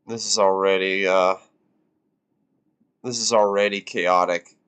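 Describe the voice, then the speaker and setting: A man's voice: sits low, steady tone, unhurried, a bit bright, smooth. A man in his twenties on a live stream, reacting casually and rambling with lots of fillers and the odd swear word. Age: 20s.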